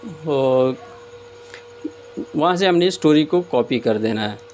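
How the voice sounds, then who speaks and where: A man giving a casual step-by-step screen tutorial as host, often pausing hesitantly mid-sentence. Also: a little high, lively intonation, darkish tone. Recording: clear background noise, room ambience.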